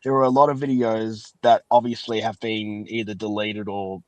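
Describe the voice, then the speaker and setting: A man's voice: fairly low pitch, level tone, normal speed, darkish tone, no echo. A young man hosting a casual interview, reminiscing in a rambling, enthusiastic way. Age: young adult. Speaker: podcast host.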